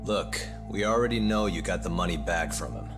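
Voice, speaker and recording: A man acts as a tough investigator in a dramatic game cutscene. His voice sits fairly low, and he talks bluntly and with control, demanding answers over background music. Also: brisk, lightly acted. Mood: firm, accusing. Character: tough, probing male investigator confronting a suspect.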